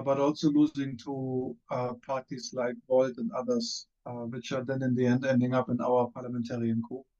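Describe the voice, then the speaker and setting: German-accented male panelist on a muffled call, mellow tone, halting delivery, plenty of ums. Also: medium pitch. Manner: hesitant, many fillers and restarts. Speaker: panelist, former member of parliament. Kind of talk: online panel discussion. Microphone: video call.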